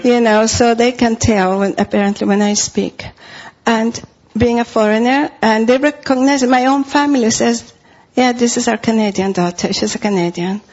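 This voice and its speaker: A woman's voice: on the high side, fairly even intonation, moderate pace, darkish tone, highs cut off. A middle-aged woman from Costa Rica with a Spanish accent, preaching a sermon in an earnest way and repeating phrases for emphasis.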